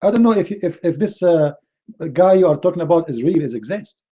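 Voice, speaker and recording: Arabic-accented man, indignant rebuttal, raised pitch, muffled lo-fi sound. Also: lively intonation, brisk, mellow, slightly rough. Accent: Middle Eastern Arabic. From Middle East. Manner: indignant, repeats words and restarts often. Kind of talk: heated rebuttal to a clip.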